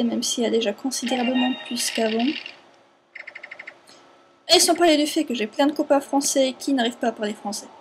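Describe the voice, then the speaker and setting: A woman's voice: fairly high pitch, background noise. Hesitant young woman, non-native speaker. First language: not French. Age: young adult. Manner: hesitant, searching for words, many fillers. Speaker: let's play commentator. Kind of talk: casual gameplay commentary.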